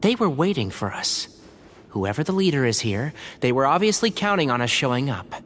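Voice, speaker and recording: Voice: mid-range voice, very animated, a little fast, fairly bright tone. An actor playing an alert, analytical young traveller in a video game, composed and inquisitive. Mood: wary, curious. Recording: long reverb, faint background noise.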